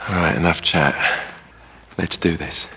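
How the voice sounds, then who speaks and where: A British man playing a cocky, smooth-talking, treacherous thief in a video game cutscene, glib and mocking. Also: deep voice, fairly even intonation, measured pace, sharp tone, exaggerated voice. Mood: breezy, confident. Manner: glib, sardonic, teasing.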